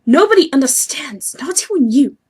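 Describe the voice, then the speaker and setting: A woman's voice: high-pitched, expressive, normal speed, a little mellow. A woman voicing a haughty young male sea troll who schemes and sulks, pompous and petulant, in amateur webcomic acting. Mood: defensive. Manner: pompous, petulant, self-pitying.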